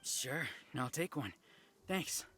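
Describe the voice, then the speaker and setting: Blunt, cocky soldier. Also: male voice, a little high, lively intonation, takes it slowly, pushed and bright, full character voice. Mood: casual. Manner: blunt, teasing. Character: tough, cocky, combative male soldier, teasing. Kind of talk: animated video game acting.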